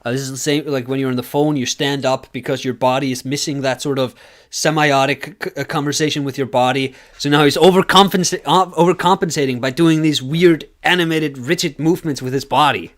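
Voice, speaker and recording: A man's voice: medium pitch, steady tone, a little fast, fairly bright tone. A man casually reacting to a video as a streamer, sarcastic and rambling, with a nasal voice.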